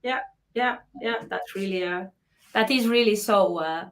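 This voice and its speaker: A woman's voice: medium pitch, measured pace. Woman hosting an online talk. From Serbia. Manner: warm encouraging questioner, reads chat comments aloud. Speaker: host. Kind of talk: online panel interview.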